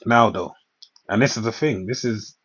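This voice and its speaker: A man with a fairly low, husky voice talks through the news in a rambling, preacher-like way. He moves between reading aloud and informal commentary, often punctuating with quick check-in phrases. The recording is dull and lo-fi. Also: a bit bright. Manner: rambling, preacher-like asides, repeatedly checks with "all right". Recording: dull lo-fi microphone.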